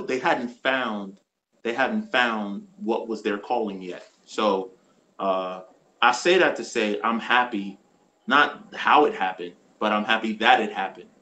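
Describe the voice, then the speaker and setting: A man's voice: fairly low pitch, bright, forceful tone, low-quality recording. A man in his thirties speaking as a livestream guest, earnest and reflective. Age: 30s. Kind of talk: casual live-stream chat.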